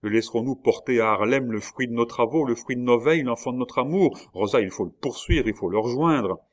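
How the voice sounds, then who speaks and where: A man's voice: mid-range voice, brisk, voice pushed up, lightly acted. A man voicing a passionate, despairing male prisoner in an audiobook, with vehement delivery. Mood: urgent resolve. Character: desperate, raging male prisoner, passionate tulip grower.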